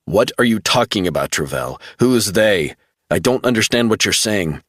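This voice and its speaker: A man voicing a steady, matter-of-fact man who talks a grieving friend through a crisis. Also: fairly low pitch, moderate pace, no echo, clean studio sound. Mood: calm concerned. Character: steady, blunt adult man, concerned friend.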